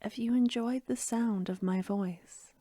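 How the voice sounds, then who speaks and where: A woman speaking gently and softly to her listeners as an ASMR creator, in a hushed voice. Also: a little high, measured pace, no room sound, studio quality. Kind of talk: soft-spoken ASMR sign-off.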